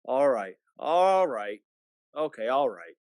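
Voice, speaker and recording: A man playing a despairing, sardonic small-town family man in a radio play, with a halting, folksy, skeptical drawl. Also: mid-range voice, sing-song, a little mellow. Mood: bewildered, sardonic. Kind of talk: 1940s-style radio play acting.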